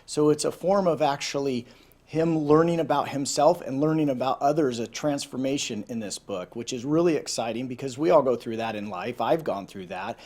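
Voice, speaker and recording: A man's voice: medium pitch, normal speed, a little mellow. A man presenting and reading a children's story aloud as a storyteller, talking informally and directly to listeners. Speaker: volunteer storyteller.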